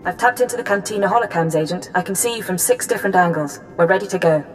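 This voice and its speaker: An actress voicing a strict intelligence handler in a video game, clipped and businesslike. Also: female voice, medium pitch, quick pace, soft background music, lightly acted, low-quality recording. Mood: brisk, cool. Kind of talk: video game dialogue acting.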